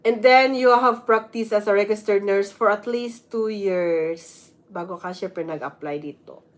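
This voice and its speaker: A woman's voice: medium pitch, measured pace. A woman with a Filipino accent, a nurse giving casual advice in a vlog, switching between Tagalog and English and reading some parts aloud. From Philippines. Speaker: vlogger, nurse.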